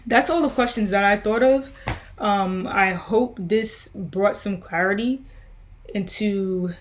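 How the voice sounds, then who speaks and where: A woman's voice: medium pitch, slow pace, a little hiss or hum. A young woman musician answering viewer questions in a loose, rambling way, with many fillers and restarts. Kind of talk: casual Q&A vlog.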